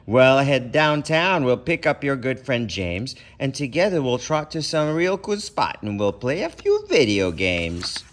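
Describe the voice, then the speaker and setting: Guy doing a fun-loving storybook pal, coaxing, bouncy pitch, unhurried. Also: very animated, fairly bright tone. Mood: eager. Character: eager, persuasive fun-loving pal of a hunch. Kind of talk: children's storybook read-aloud.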